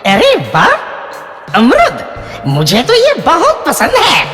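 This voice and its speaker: A tiny, very high-pitched cartoon parrot played by a woman, greedy and cheeky. The delivery is slow and hugely swooping, in excitable sing-song exclamations. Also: heavily acted. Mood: delighted, greedy. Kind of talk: children's cartoon voice acting. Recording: echoey, long reverb, loud music behind. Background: loud music.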